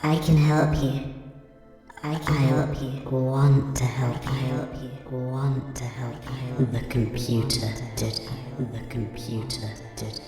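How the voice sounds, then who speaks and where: A man voicing a sinister, tempting talking box in a horror play, coaxing in a repetitive monotone with a low, creaky voice. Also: takes it slowly, heavy reverb, in a large hall. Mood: menacing coaxing. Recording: loud music over the voice.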